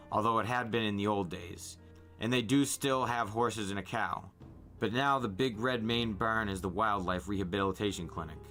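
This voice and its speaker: A man reads a story aloud with expressive, audiobook-style narration. His voice sits fairly low but has a bright, forward edge, and music plays behind him. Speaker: podcast host reading a novel aloud. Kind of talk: first-person audiobook-style narration with acted dialogue.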